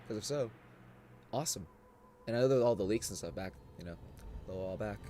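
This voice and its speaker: Hoarse man chatting on a live stream. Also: fairly low pitch, fairly quick, mellow, slight background noise. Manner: rambling, chatty, laughs often. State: hoarse, just woke up. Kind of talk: casual live-stream watch-along chat.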